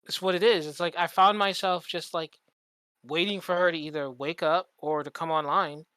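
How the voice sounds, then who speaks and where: A man's voice: fairly high pitch, fairly even intonation, normal speed, sharp tone, clear, resonant tone, no echo. A man talking casually as a podcast co-host, rambling and candid, swearing freely. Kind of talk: casual chat.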